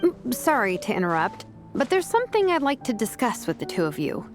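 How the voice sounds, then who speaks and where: An actress playing a confident vice captain and teacher in a video game, speaking casually, diplomatically and persuasively. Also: female voice, a little high, big pitch swings, a little fast, soft background music. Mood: casual, considerate.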